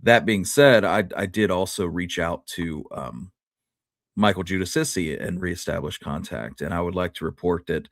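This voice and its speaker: A man's voice: low-pitched, normal speed, fairly bright tone, no room sound, studio quality. A man speaking casually as a podcast co-host, with an easygoing manner.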